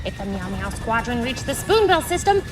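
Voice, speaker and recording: A woman's voice: on the high side, sing-song, a little fast, recorded from a distance. A woman voicing a stern, demanding bird lieutenant in a retro sci-fi radio parody. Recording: off-mic, loud music underneath.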